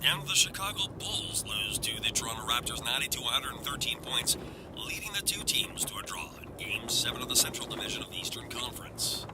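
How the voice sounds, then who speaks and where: A man's voice: medium pitch, animated, brisk. A man playing a radio sports announcer reading results, heard as if through a car radio. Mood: brisk, professional. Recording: radio buried in loud vehicle noise.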